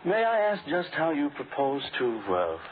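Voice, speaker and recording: Casting: sardonic gentleman crook, fairly high voice with extremely lively pitch, vintage radio thriller on thin audio. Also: moderate pace. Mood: coolly defiant. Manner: arch, sardonic, clipped replies. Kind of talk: 1940s radio acting. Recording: scratchy, tinny 1940s radio broadcast.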